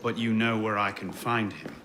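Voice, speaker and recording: Game lead, male actor: focused young assassin, clipped lines, slightly low pitch, score behind. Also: steady tone, moderate pace, music in the background, natural voice. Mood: determined. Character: determined, terse young male assassin investigator.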